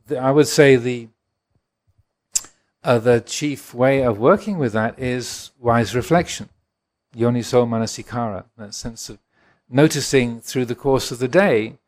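Reflective English monk in his sixties. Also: mid-range voice. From England. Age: 60s. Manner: gentle, reflective, chuckles often. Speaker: Buddhist monk leading a retreat. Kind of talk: dharma talk answering questions.

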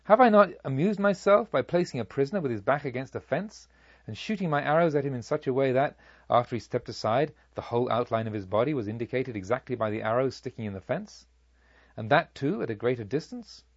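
A proud robber chief, played by a male audiobook reader, recounts his deeds in formal, measured phrases. His mid-pitched voice rises and falls dramatically, with vengeful indignation. The recording is dry and a little dull. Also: lively intonation, no room sound. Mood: baffled, indignant. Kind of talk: audiobook story narration in character.

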